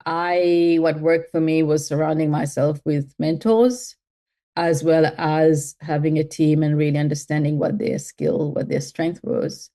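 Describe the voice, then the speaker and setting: A woman from Mauritius speaks as an interview guest, her voice low and mellow. She thinks aloud, circling back with fillers and restarts as she builds each answer. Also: unhurried. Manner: thoughtful, with many fillers and restarts. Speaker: guest, health tech CEO.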